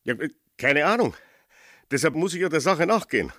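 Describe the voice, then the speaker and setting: Higher-voiced brusque gendarme. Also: male voice, big pitch swings, brisk, fairly bright tone, no room sound, clean studio sound. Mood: brusque, businesslike. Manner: clipped, official. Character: brusque, officious rural gendarme sergeant. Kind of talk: radio play dialogue.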